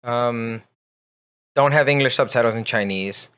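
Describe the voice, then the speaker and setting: A man's voice: medium pitch, measured pace, a bit bright, clear, resonant tone. A man whose first language is Spanish, co-hosting a radio show and giving direct, conversational advice as an English teacher. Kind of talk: casual radio chat giving English-learning tips. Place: radio studio.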